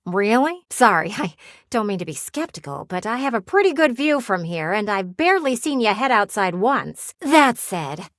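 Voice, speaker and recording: A woman's voice: medium pitch, very animated, moderate pace, a bit bright, no echo. An actress voicing a talking window in a video game, a flirty yet wistful female people-watcher with a folksy, teasing, theatrical drawl. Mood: frank, friendly. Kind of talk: video game character voice acting. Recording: close, dry studio recording.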